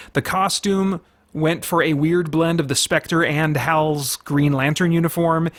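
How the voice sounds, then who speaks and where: A man hosting a review show, talking in a casual, opinionated way with earnest, halting delivery and emphasis on key words. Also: a little high, fairly bright tone. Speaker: host of a comics review series.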